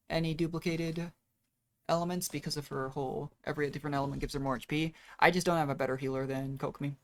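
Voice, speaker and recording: A game streamer giving casual live commentary, thinking aloud in an offhand way. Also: mid-range voice. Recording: music behind the voice. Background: game music.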